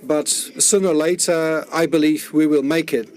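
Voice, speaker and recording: Man interpreting simultaneously, slightly high voice. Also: steady tone, normal speed, a little mellow. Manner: rephrases and restarts while rendering speech. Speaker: conference interpreter.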